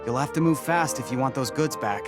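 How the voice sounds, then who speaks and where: A helpful villager, voiced by a man in a game, explaining things evenly. His voice sits at a mid pitch, and clear game music plays behind him. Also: fairly quick, lightly acted. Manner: informative, even-toned. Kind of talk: game voice acting.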